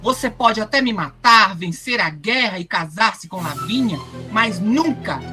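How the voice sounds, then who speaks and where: A woman's voice: mid-range voice, animated, measured pace, sharp tone, faint music behind. A woman playing a brave, defiant teenage boy prince in an audio drama, bold and provocative. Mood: taunting, proud.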